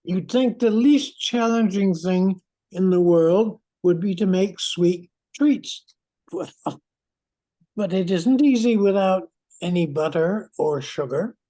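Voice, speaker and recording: An older woman playing a steady retired scientist in an amateur radio play, measured and reassuring. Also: lowish voice, rough recording. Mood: exasperated. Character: retired scientist, steady, civic-minded candy maker. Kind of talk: amateur old-time radio play acting.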